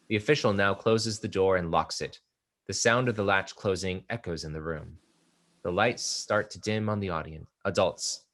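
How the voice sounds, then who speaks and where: A man narrating the stage directions of a play at a table read, in a steady, measured reading. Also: sits low.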